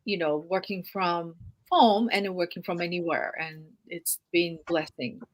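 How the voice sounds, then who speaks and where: A woman's voice: lowish voice, fairly even intonation, unhurried, poor audio. A woman from Japan with a Japanese accent, a guest telling her story on a video call, chatty with frequent "you know.". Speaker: guest, healing practice owner. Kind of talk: casual interview answers.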